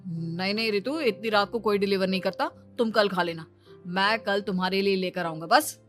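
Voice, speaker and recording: A woman's voice: mid-range voice, moderate pace, music in the background, lightly acted. Woman doing an earnest, placating young cartoon husband. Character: anxious, placating young husband, attentive to his wife. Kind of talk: Hindi cartoon story dub.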